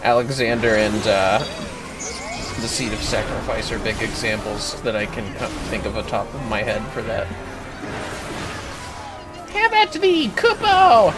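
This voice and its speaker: A man's voice: on the low side, steady tone, normal speed. A man hosting a livestream, casually commenting on a game and explaining how to play it. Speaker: livestream host, gamer. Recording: game music behind the voice. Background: game music.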